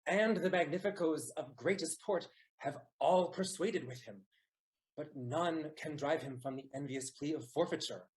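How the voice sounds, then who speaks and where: An actor playing a grave, loyal gentleman messenger in a Shakespearean audio play, speaking formally. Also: on the high side, lightly acted, poor audio. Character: grave, loyal Venetian gentleman messenger bearing bad news.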